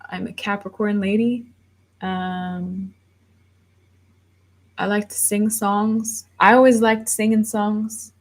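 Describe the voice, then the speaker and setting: Slow-talking woman in her thirties. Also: medium pitch, flat delivery. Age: 30s. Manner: rambling and meandering, reads viewer comments aloud. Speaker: livestream host. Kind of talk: casual livestream chat.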